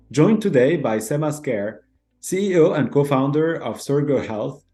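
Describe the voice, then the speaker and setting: A man's voice: mid-range voice, a little mellow. A man hosting a podcast, reading a formal scripted introduction of his guest. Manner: formal, reads from a prepared script. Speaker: podcast host.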